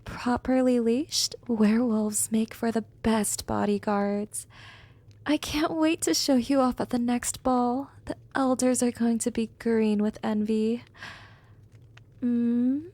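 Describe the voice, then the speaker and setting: A woman playing a smug, gently dominant female vampire in an intimate ASMR roleplay, speaking softly and often slipping into whispers, teasing and coaxing. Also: fairly high pitch, slow, no room sound. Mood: gloating, playful. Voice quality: soft, breathy, often dropping into whispers.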